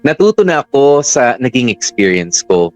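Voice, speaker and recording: A man's voice: mid-range voice, big pitch swings, moderate pace, a little mellow, no room sound, close to an everyday voice. A man with a Filipino accent narrating a chatty first-person radio drama story. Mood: reflective, sassy. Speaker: narrator reading the letter sender's story. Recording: music bed under dry narration.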